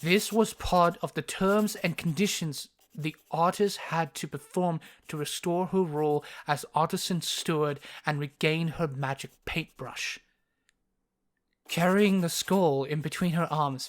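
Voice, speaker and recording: A narrator delivering scripted narration for a fantasy audio story. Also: medium pitch.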